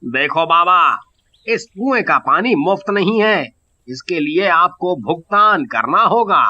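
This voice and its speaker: A man voicing a greedy, callous village merchant in an animated moral story, gruff and dismissive, with drawn-out exclamations. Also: high voice, dull audio, heavily acted. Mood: dismissive, stern.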